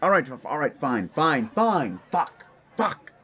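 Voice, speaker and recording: A man's voice: mid-range voice, sing-song, a little slow. A man voicing a scrawny, defensive werewolf bully who stammers, blusters and swears in an audio drama. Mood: exasperated. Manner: flustered stammering, blustering, foul-mouthed. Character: Fenris, scrawny hot-headed werewolf bully, male, defensive. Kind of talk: scripted audio drama dialogue.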